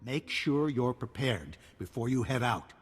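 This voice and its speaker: An actor playing a grim, determined general in a video game, commanding and terse, with a husky voice. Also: male voice, medium pitch, animated, measured pace, big-room sound. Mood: grave, urgent. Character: grim, determined male army general in wartime. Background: game music.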